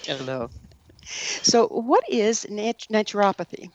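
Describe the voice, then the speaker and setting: A woman's voice: medium pitch, big pitch swings, slow, a little mellow, no echo, dull audio. Woman radio host, close dry sound. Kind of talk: scripted show introduction and interview.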